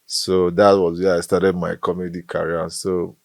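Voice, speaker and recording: Nigerian man, very low voice. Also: completely flat delivery, normal speed, gravelly, no room sound, clean studio sound. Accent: Nigerian, Bayelsa-tinged Igbo. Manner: playful, jokey, slips into pidgin. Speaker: comedian guest. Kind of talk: casual podcast interview.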